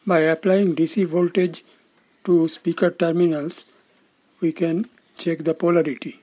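Older man with a mellow, higher-pitched voice. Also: flat delivery, unhurried. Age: 60s. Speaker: electronics instructor. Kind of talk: scripted instructional narration.

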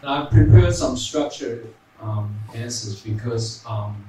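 A man's voice: medium pitch, takes it slowly, warm tone. A young man with an Australian accent reading prepared points on a panel into a handheld mic, with many ums and tag "right?"s. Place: large hall. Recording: very echoey hall, distant mic, faint noise.

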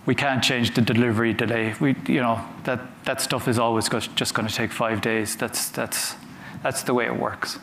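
A man giving a conference talk from a stage, conversational and halting, often repeating words as he goes. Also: medium pitch, steady tone, a bit bright. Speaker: conference speaker. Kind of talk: conference talk with audience questions. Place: large conference hall on a stage. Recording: roomy hall sound with faint noise.

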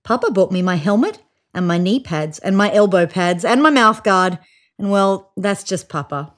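A woman in her thirties reading a children's picture book aloud in an expressive, child-directed way. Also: medium pitch, moderate pace. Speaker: storybook reader. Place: small room indoors, seated on a couch.

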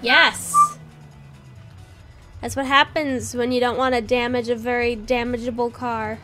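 A young woman in her twenties chatting casually while playing a video game, excitable and quick to exclaim. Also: a little high, soft background music. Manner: excitable, exclaims and giggles often. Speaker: gaming co-host. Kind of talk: casual gameplay chat.

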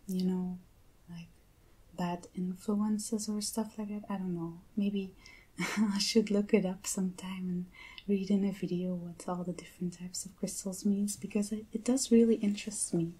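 A young adult woman doing soft-spoken ASMR in a breathy, whispery voice, gentle and unhurried, close to the microphone. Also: lowish voice, level tone. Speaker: ASMR creator unboxing items. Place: indoors at a table with a backdrop.

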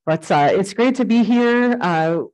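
A woman giving an informal talk on native plants in a library, loud and friendly, asking the audience questions. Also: mid-range voice, measured pace, low-quality recording. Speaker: guest speaker on native plants. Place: library program room.

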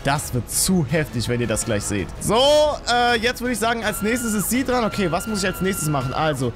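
A young man in his twenties with a high voice that swings widely in pitch, speaking. He commentates a video game excitedly, addressing viewers and his character in exclamations. He is close on a studio mic at home, with music behind him. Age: 20s. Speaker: gaming YouTuber. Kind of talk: excited let's play commentary. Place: small home streaming room with acoustic foam, indoors. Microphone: studio microphone on boom arm, close.

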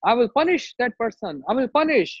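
A man's voice: very high voice, very animated, a little fast, slightly rough, voice pushed up, heavily acted. A man with an Indian accent voicing a ferocious, boastful warrior sage in an oral storytelling, threatening and demanding. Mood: furious. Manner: threatening, shouting demands, repetitive. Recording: roomy, dull lo-fi sound.

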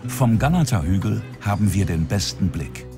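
Male documentary narrator, standard German, low voice with animated intonation, music underneath. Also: normal speed.

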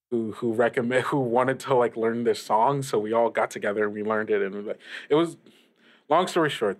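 A man playing a friendly young radio DJ on a casual improvised call-in show, rambling and halting with chuckles. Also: fairly low pitch, lightly acted. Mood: wistful, casual. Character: friendly young small-station radio DJ named Lil Harry. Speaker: radio host.

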